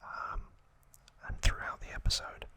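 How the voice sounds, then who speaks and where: Slow, whispering male ASMR host. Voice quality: breathy unvoiced whisper. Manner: slow, hushed, short pausing phrases. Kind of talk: whispered ASMR episode recap.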